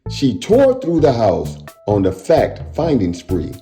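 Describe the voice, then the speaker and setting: Male kids' read-aloud host: unhurried, very expressive, playful, talks directly to the child audience. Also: medium pitch, echoey room, soft background music. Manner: playful, addresses listeners directly with questions. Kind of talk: animated children's read-aloud and casual chat to young viewers.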